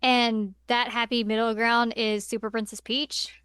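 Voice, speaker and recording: Higher-pitched woman chatting casually, clear voice. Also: little pitch movement, a little slow, fairly bright tone, dry recording, clean studio sound. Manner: conversational, filler-heavy with frequent "like". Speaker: podcast co-host. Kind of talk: casual chat reviewing films.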